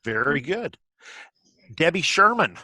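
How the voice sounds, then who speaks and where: A man's voice: a little high, sing-song, slow pace, pushed and bright, dry recording. A man hosting a table read on a video call in a casual, chatty way. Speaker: host and director of a virtual table read. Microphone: video call microphone.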